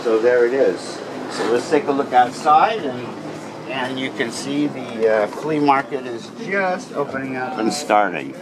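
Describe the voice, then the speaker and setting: A man giving an informal, welcoming walk-through tour in a halting way, as a guide showing visitors around. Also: mid-range voice, expressive, background noise. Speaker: tour guide showing a radio society museum. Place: walking through museum building during a public event. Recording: echoey rooms with busy crowd chatter. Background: crowd chatter.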